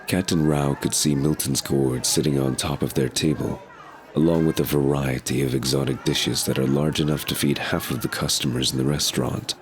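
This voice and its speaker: Extremely deep-voiced male narrator. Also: fairly even intonation, moderate pace, some chatter behind. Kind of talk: scripted story narration. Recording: noisy urban ambience behind.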